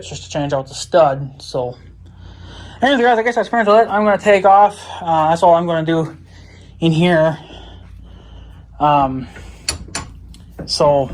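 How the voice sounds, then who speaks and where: A man with a slightly high voice talks casually to his viewers while working on a hands-on project. He rambles and thinks aloud, in a folksy, informal way. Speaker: vlogger. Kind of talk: casual hands-on DIY vlog talk to viewers.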